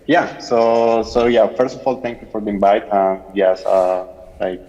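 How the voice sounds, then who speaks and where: A man's voice: on the low side, flat delivery, measured pace, dark tone. A man from Colombia joining a conference panel remotely, speaking casually with many fillers. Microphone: remote call audio. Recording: dull remote call in a hall.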